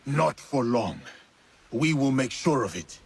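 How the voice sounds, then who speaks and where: A gravelly, vengeful samurai. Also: male voice, mid-range voice, animated, heavily acted. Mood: cold defiance. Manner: solemn, defiant, deliberate. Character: vengeful, resolute male samurai, a former slave. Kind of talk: dramatic video game acting.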